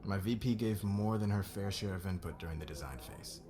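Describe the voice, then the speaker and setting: A man acting as a demanding, controlling boss in an audio roleplay, speaking with measured, commanding formality. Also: low voice, crowd noise, natural voice. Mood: polished, confident.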